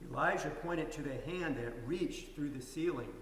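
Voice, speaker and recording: A man's voice: on the high side, normal speed, fairly bright tone, far from the mic, lightly acted. A man playing storybook parts in a plain, earnest dramatic reading. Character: storybook narrator sharing parts of boy and carver. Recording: echoey hall from afar, faint hiss.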